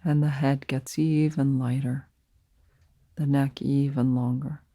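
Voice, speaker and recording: A woman leading a guided meditation as a teacher, speaking softly and soothingly in short, unhurried phrases with pauses between them. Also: rumbling low, flat delivery, gravelly, no echo, clean studio sound.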